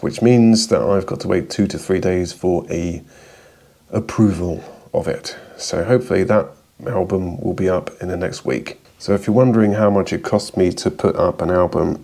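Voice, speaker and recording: Croaky male vlogger, lowish voice, dry close sound, rambling casual narration. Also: moderate pace, a little mellow, no room sound. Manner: chatty, rambling, self-mocking. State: hoarse, croaky voice from a sore throat. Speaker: vlogger and meditation maker.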